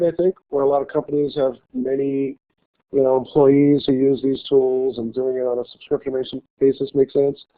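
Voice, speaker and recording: A male college instructor giving a classroom lecture with a slight cold, talking haltingly with many fillers and restarts. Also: fairly high pitch. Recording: tinny phone-like lecture recording.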